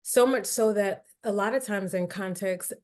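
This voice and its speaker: A woman's voice: medium pitch, moderate pace, a little mellow. A woman raised in the United States who hosts a podcast interview, listening with frequent affirmations and then responding in an easy conversational way. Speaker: podcast host.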